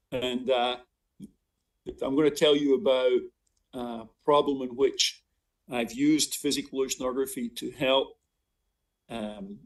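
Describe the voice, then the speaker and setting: An older Scottish man delivers an informal academic talk in an online seminar. He speaks haltingly, restarting phrases and doubling back on words, in a mid-pitched voice. The microphone sounds some distance from him. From Scotland. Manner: halting, with frequent self-interruptions and repeated words. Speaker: visiting professor giving a seminar. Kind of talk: informal academic lecture with slides.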